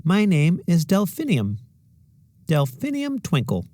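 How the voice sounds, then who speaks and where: A man's voice: high-pitched, wildly swooping pitch, slow pace, mellow, no room sound, clean studio sound, voice pushed up, full character voice. A man reading a storybook as a gracious woman music teacher, speaking in an emphatic, reassuring way. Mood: proud, warm. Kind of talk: children's storybook read-aloud.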